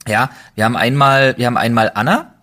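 A man's voice: medium pitch, fast talker, sharp tone. A young man hosting a casual podcast chat, playful and rambling, laughing often as he talks. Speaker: podcast host.

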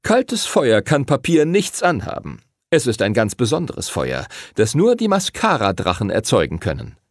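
A man's voice: medium pitch, wildly swooping pitch, moderate pace, no echo, clean studio sound. A man voicing a small, friendly library master in a storybook, solemn and instructive with a playful streak. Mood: explaining.

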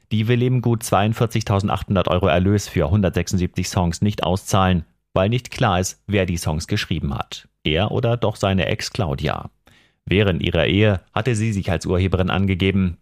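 Deep-voiced male newsreader. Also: dry recording, studio quality. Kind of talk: scripted news reading.

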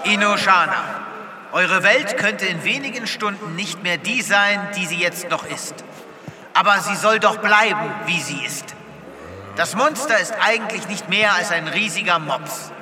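A man's voice: high voice, unhurried, crowd noise. A man playing an earnest, heroic space veterinarian in a comedic sci-fi radio play, declaiming theatrically with grand pathos. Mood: dramatic. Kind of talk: comedic sci-fi audio drama acting. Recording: long echoing hall reverb.

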